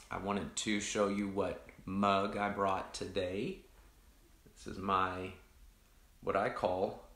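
Man pastor, low and echoey. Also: gravelly, fairly bright tone. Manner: rambling, many restarts and fillers, greets viewers by name. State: deeper voice from allergies. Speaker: pastor hosting an online coffee chat. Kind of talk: casual, reflective chat to online viewers.

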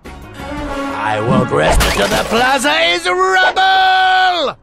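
Pompous evil mogul, thick gravel, swooping pitch, theatrical, short-tempered, cartoon. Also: male voice, lively intonation, extremely slow, exaggerated voice. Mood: furious. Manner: theatrical, ranting. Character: maniacal male supervillain business mogul, pompous and short-tempered. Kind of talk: cartoon villain voice acting. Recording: loud music under the voice.

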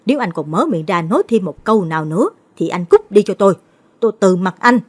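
A woman voicing an old grieving mother who wails her lament in a high, strained voice. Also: expressive, quick pace, natural voice. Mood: furious.

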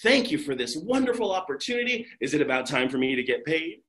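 A storyteller voicing an eager, simple-hearted farm boy who gushes with excitement. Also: medium pitch, quick pace, roomy sound, voice pushed down. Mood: excited. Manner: excited, gushing, folksy.

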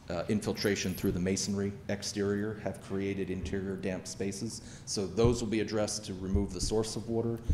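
A middle-aged man presenting a library project at a town board meeting, speaking into a podium microphone in a meeting room, measured and explanatory with frequent fillers. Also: low-pitched, a little mellow, a little hiss or hum.

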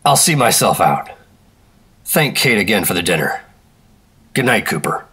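Bright-edged, low-voiced American secret operative. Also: male voice, big pitch swings, moderate pace, slightly rough, full character voice. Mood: firm, insistent. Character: terse, stubborn, skeptical 1950s male secret operative. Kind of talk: radio drama acting with noir narration.

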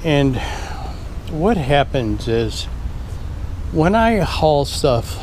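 A man's voice: fairly high pitch, big pitch swings, drawn-out words, darkish tone, a little hiss or hum. A man talking casually through a walkthrough of his workshop, rambling with plenty of fillers and restarts, recorded on an action camera indoors. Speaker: shop owner and builder. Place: large workshop garage, indoors.

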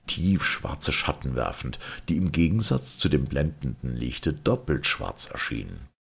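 Very deep, gravelly male storyteller. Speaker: audiobook narrator. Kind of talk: scripted literary narration.

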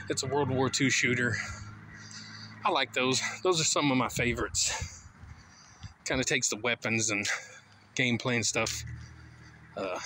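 Middle-aged man, relaxed walk-and-talk vlogger, unhurried delivery, phone mic outdoors with faint street noise. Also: medium pitch. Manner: rambling, easygoing, halting with fillers. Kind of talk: casual walking vlog monologue. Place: outdoors walking down a suburban street. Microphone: phone held at arm's length.

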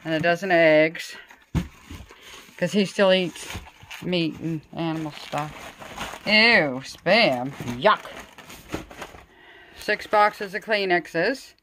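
A woman's voice: lowish voice, drawn-out words, long pauses, a bit bright, a little hiss or hum. A middle-aged woman casually listing items in short phrases for a home vlog, recorded on a handheld phone. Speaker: home vlogger. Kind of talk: casual grocery haul vlog. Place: indoors at home, at a table.

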